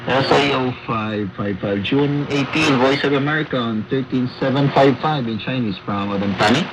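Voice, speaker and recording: A man's voice: medium pitch, normal speed. A man from the Philippines with a Filipino accent, reading a scripted report as a radio correspondent. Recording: noisy shortwave radio broadcast.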